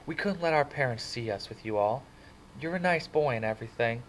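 A man's voice: medium pitch, expressive, brisk, a little hiss or hum, pitched above the performer's own voice, close to an everyday voice, low-quality recording. A man voicing an earnest, frightened teenage girl who pleads and scolds. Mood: soft, earnest. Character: upset teenage girl, tender and fearful.

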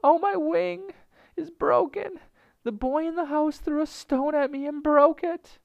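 A man's voice: very high-pitched, fairly even intonation, measured pace, vocal fry, no echo, lo-fi sound, voice pushed up, exaggerated voice. A man voicing a frantic female tailorbird in a storybook, wailing shrilly and desperately. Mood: feigned distress. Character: frantic, shrieking female tailorbird, a small wife. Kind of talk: storybook character voice.

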